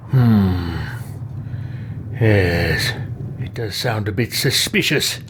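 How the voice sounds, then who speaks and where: An actor playing a measured, musing, calculating adventurer in a fantasy video game. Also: male voice, fairly low pitch. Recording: clear background noise.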